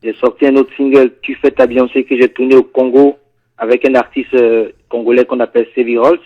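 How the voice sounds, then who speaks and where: A singer from Ivory Coast with an Ivorian French accent, chatting in a radio studio interview and often answering "yes, sir". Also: male voice, mid-range voice, a little fast, dull audio. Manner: relaxed, peppers talk with "yes, sir". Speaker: guest singer.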